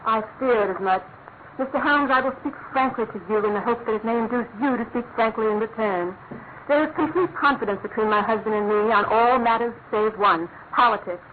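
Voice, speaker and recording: A woman's voice: a little high, lightly acted. An actress playing an insistent, anxious aristocratic British lady in a radio drama, formal and pleading. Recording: tinny narrow-band radio recording with noise.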